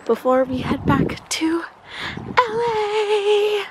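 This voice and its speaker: A woman's voice: extremely high pitch, animated, very slow, noisy background. A woman in her twenties with an American accent, chatting casually and playfully as she vlogs into a handheld camera. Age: 20s. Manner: informal, playful. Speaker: travel vlogger. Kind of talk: casual vlog chat to camera. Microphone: handheld camera at arm's length. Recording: music behind the voice. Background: music.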